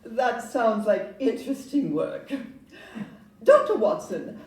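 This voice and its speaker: Roomy, distant recording. Actress as young Victorian house agent: polite, brisk questioner, lively mellow voice. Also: female voice, a little high. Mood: businesslike, curious. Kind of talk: stage audio-play acting. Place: live performance in a club room. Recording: distant roomy live recording, faint noise.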